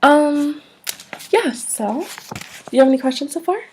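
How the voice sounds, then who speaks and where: A soft-spoken woman in an ASMR roleplay, acting as a polite, businesslike job interviewer who explains things a little hesitantly. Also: sits high, animated, measured pace, a little hiss or hum.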